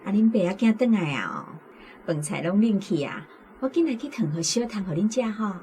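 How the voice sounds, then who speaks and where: A woman with a Taiwanese accent playing a sharp-tongued but soft-hearted mother in a radio drama. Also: mid-range voice, big pitch swings, fairly quick. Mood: caring, admonishing. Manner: scolding, exclamatory. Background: music.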